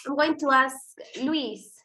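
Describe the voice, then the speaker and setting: A woman with a high voice and Spanish as her first language gives instructions to an English class online. She is informal and corrects herself as she goes. Faint noise and clicking sit behind her. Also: slow, pushed and bright. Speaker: English teacher.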